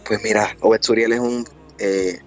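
Low-voiced earnest young Puerto Rican man. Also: fairly even intonation, faint music behind, poor audio. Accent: Puerto Rican Spanish. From Puerto Rico. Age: 20s. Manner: earnest, devout, many pauses and fillers. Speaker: gospel singer guest. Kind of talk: remote interview.

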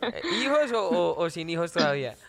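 A Colombian man hosting a morning radio interview, his voice pitched noticeably high for a man. He asks questions in a friendly, joking way and teases his guest now and then. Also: big pitch swings, a little fast, vocal fry. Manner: playful, joking. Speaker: radio show host.

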